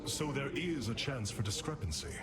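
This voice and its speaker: An actor playing a noble alien warrior leader in a video game, speaking formally and gravely with the weight of command. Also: male voice, medium pitch, animated, normal speed, heavy background noise, close to an everyday voice. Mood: wary, questioning. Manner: formal, grave, stately delivery. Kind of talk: dramatic video game acting. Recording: loud game music behind the voice.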